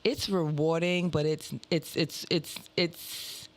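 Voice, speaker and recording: A woman's voice: deep voice, slow. A woman from Jamaica, a comedian, talking casually as a guest on a radio show. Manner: animated storytelling, acts out quotes. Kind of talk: casual chat.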